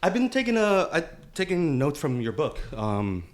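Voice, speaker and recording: A man's voice: a little high, big pitch swings, moderate pace. A man co-hosting a podcast in casual banter, joking and slangy, often repeating short phrases. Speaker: podcast co-host. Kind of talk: casual banter between friends.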